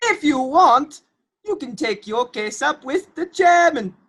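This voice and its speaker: A woman acts out a petty official in a comic radio play. The voice is rather high and very lively in pitch, yet unbending and matter-of-fact about every rule. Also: unhurried. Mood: officious. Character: unbending, officious bureaucratic clerk, deadpan and obstructive. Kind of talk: comedic radio play acting.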